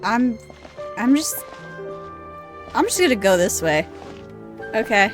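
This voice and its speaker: A woman's voice: a little high, big pitch swings, slow pace. A woman streaming a game with casual, sweary commentary, musing aloud and poking fun at herself. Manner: sweary, self-deprecating, musing. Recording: game music behind the voice. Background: game music.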